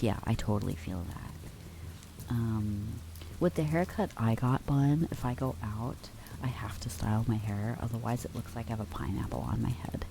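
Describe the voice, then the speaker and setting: A young adult woman streamer chatting softly with her viewers, drifting in and out of whispers, relaxed and affectionate. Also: very deep voice, creaky. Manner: relaxed, affectionate, reads and answers chat; drifts between soft talk and whispers. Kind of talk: soft-spoken ASMR chat with viewers.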